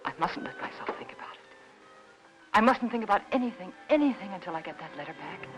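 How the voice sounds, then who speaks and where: An actress playing a frightened, desperate housewife in old-time radio noir style, anxious and confiding. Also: female voice, mid-range voice, lively intonation, fast talker, close to an everyday voice, rough recording. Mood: haunted, hushed dread. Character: frightened, desperate housewife hiding her husband's death. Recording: old radio drama with faint music.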